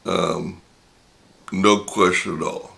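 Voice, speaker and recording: An older man with a deep, gravelly voice that is a touch raspy from a long session of talking. He speaks slowly and reflectively, pausing often as he tells a personal story to his viewers. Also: fairly even intonation, sharp tone. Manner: reflective and unhurried, many pauses. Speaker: channel host.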